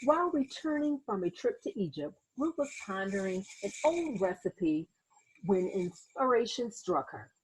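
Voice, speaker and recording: A woman reads a picture book aloud with a lively, swooping delivery and a slightly husky edge to her voice. She performs the story dramatically, in the manner of a teacher speaking to young children, and turns to her listeners with asides. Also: medium pitch, measured pace, low-quality recording. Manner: animated, dramatic, acts out sound words and exclamations.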